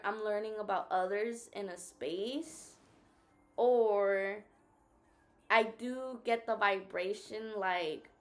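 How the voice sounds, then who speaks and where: A tired woman whose first language is Spanish, co-hosting a casual reflective chat and talking thoughtfully while searching for her words. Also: medium pitch. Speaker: co-host.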